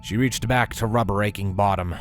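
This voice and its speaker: A man's voice: fairly low pitch, fairly even intonation, brisk, faint music behind. A man narrating a scripted story as a reader. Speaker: fan fiction reader.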